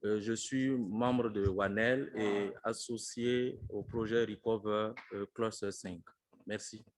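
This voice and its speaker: A man's voice: on the low side, steady tone, measured pace. A man, a professor introducing himself at a meeting. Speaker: university professor.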